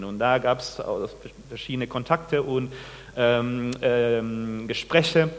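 A man in his forties from Peru, a pastor giving a sermon, speaking haltingly with many restarts and questions to the congregation. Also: mid-range voice, big-room sound. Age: 40s. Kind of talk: sermon with personal testimony. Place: church.

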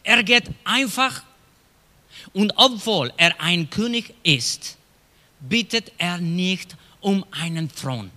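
A middle-aged man from Chile with a Chilean Spanish accent, a pastor preaching a sermon in a slow storytelling way with hushed dramatic drops. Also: high-pitched, animated. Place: church hall. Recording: long hall reverb.

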